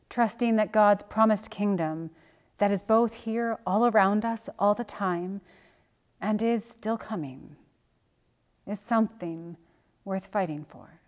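A middle-aged woman minister delivering a sermon or prayer in a measured, earnest way, in short phrases. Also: mid-range voice, darkish tone. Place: church sanctuary, at the pulpit.

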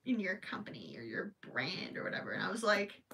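A young woman with a broad Minnesota accent hosts a crochet livestream, chatting in a loose, rambling way full of wry asides. Her voice is bright and forward. She sits a little back from the mic, so she sounds slightly distant. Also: mid-range voice, big pitch swings, a little fast, gravelly. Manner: chatty, rambling, joking asides with frequent laughs. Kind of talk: casual livestream chat. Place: small indoor craft room with yarn shelves.

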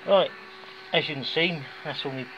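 A man's voice: fairly high pitch, moderate pace, a bit bright. British man, casual hobbyist vlogger, chatty and rambling; phone-recorded, muffled, noisy. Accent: British English. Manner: chatty and rambling, with folksy asides. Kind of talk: casual DIY demonstration. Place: indoors, garage or workshop floor. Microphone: handheld phone filming. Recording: dull lo-fi phone audio with clear background noise.

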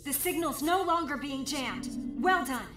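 An actress playing a focused, businesslike female mission operator in a video game, crisp and informative. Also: sits high, expressive, a little slow. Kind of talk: video game cutscene acting. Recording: echoey, distant, under loud music.

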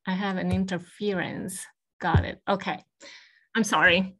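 An older woman from Italy recounting her path into science on a video call, thoughtful and measured, with frequent hesitant pauses. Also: medium pitch, little pitch movement, pushed and bright. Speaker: guest speaker. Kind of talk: personal history talk on a video call. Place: home office with bookshelves, indoors. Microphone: video call webcam.

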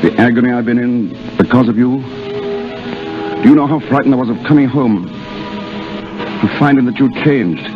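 An actor playing a boyish young Englishman in love, with halting declarations, in a 1930s radio drama. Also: medium pitch, lightly acted. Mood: anguished, tender. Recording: muffled 1930s radio broadcast with music.

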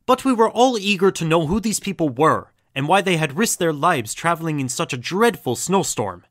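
A man's voice: fairly high pitch, expressive, normal speed, fairly bright tone, no room sound, studio quality. A man playing an earnest doctor recounting a story in an audio drama. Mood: earnest storytelling. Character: earnest male doctor and time traveller, recounting.

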